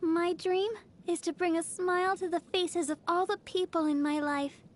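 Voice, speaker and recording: A woman's voice: very high-pitched, steady tone, moderate pace. A woman voicing a cheerful, devoted young girl idol healer in a video game, sweet, earnest and bubbly. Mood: heartfelt. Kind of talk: video game character voice lines.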